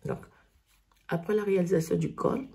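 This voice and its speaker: A woman with a cold, hoarse, slowly explaining knitting stitches step by step in a tutorial. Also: lowish voice, steady tone, mellow. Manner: slow, step-by-step instructions.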